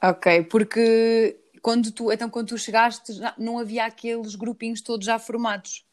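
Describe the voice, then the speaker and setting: A woman's voice: a little high, steady tone, moderate pace, a little mellow. A woman from Switzerland hosting a podcast interview in a chatty, conversational way. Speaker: podcast host.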